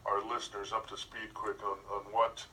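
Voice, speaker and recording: A man's voice: lowish voice, little pitch movement, normal speed, pushed and bright, distant mic, slight background noise. A man hosting a radio show, talking in a casual interview style. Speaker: radio host.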